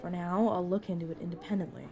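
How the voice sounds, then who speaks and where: A woman playing a brisk, protective vampire mentor in an audio roleplay, talking casually and teasingly to a new fledgling. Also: lowish voice, steady tone, creaky, mellow, dull audio. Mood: serious, confiding. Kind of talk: narrative audio roleplay, addressed to the listener.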